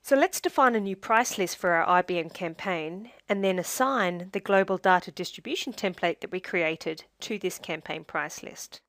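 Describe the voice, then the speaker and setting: A woman narrating a scripted software tutorial as a demonstrator, in a measured, step-by-step instructional way. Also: lowish voice, dry recording.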